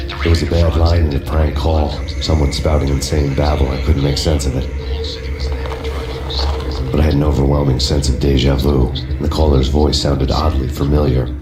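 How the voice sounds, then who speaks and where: Very deep voice, weary noir cop narrator, deadpan, echoey, music behind. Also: male voice. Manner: flat, deadpan and world-weary. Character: brooding hardboiled male cop, grim and weary. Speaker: narrator, game protagonist. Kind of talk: hardboiled noir voice-over narration. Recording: roomy echo with loud music score.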